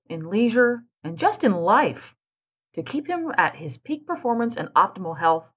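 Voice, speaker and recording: A woman reading a scripted commercial with a smooth, lilting delivery. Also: medium pitch, fairly bright tone, poor audio. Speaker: ad announcer.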